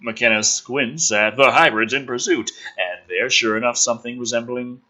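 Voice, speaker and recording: A man doing an animated read-through of a script, theatrical, with exasperated and mocking asides. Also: mid-range voice, normal speed, highs cut off. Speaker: host reading and mocking a film script aloud.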